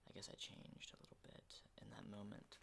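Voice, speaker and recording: A man playing an unhinged, menacing survivor of a zombie apocalypse in a halting, intimate ASMR roleplay, in breathy whispers. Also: a bit bright, slight background noise, natural voice. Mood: unhinged confession. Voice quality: breathy whisper with low creaky undertones.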